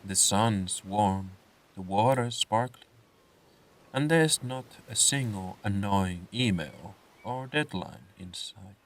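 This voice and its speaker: Male meditation narrator: deep register, very slow pacing, soothing scripted delivery, sounding a little distant from the mic. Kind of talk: slow scripted guided meditation with comic swearing.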